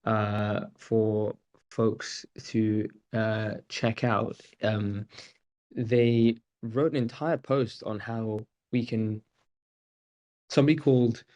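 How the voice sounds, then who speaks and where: Low-voiced man hosting a video call. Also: slow. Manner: relaxed, chuckling, hesitant restarts. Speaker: host. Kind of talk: casual chat.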